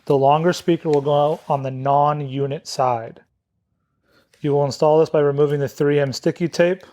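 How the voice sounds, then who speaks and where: A man presents a hands-on product tutorial. His voice sits a little higher than usual for a man, and he speaks in the instructional style of a demonstrator going step by step. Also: fairly even intonation, normal speed, warm tone.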